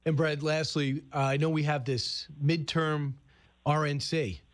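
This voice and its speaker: A man's voice: on the high side, flat delivery, a little slow. A man hosting a live current-affairs radio interview, talking conversationally with informal, sporty turns of phrase. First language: English. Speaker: radio show host and interviewer.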